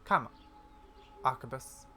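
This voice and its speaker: A gamer voicing a haughty, mocking villainess, a dark rider, from a fantasy game's dialogue. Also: slow pace, a little hiss or hum. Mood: taunting. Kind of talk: reading fantasy game dialogue aloud.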